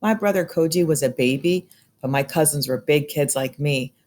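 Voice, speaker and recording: A woman reading a children's picture book aloud in an expressive storytelling style. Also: low-pitched, normal speed. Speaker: storybook reader.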